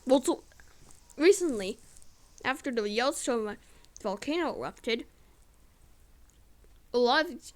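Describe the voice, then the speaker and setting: Slow, halting, high-voiced woman rambling. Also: expressive, a little hiss or hum. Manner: halting, repetitive, searching for words. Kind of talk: rambling improvised news-like narration.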